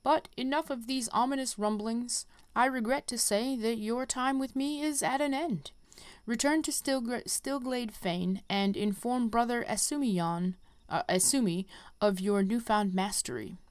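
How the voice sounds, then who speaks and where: A woman voicing a grave, wary conjurer teacher from game quest dialogue in a formal, measured way. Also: a little high, smooth, no echo, close to an everyday voice. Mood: solemn, concerned.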